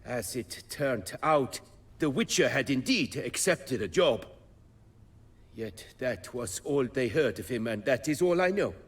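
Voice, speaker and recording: An actor playing a proud, wistful master armorer in a video game, telling tales in a formal, measured manner. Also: male voice, medium pitch, lively intonation, slightly rough. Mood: measured, recounting. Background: music.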